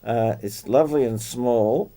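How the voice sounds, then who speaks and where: A man chatting casually about technical matters as a club member, explaining details in a halting way. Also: medium pitch, mellow. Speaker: club member discussing radio gear.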